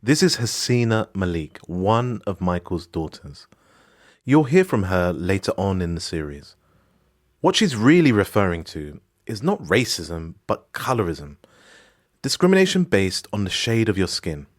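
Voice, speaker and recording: Man from Morocco, podcast host, low voice, very animated intonation, scripted documentary narration, emphatic. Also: measured pace.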